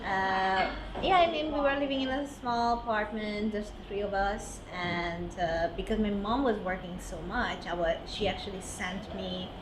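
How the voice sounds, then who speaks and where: A young woman from Hungary, a podcast guest, talking in a relaxed, chatty way and laughing easily. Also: mid-range voice, moderate pace, hardly any pauses. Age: young adult. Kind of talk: casual interview chat. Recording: roomy with clear background noise.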